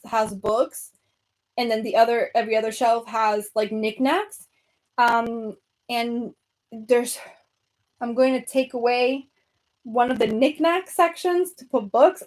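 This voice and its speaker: A woman's voice: fairly high pitch, a little mellow. Chatty young woman with a Venezuelan accent. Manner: chatty, rambling, lots of fillers. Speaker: book vlogger. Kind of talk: casual chat with live viewers. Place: home room by bookshelves.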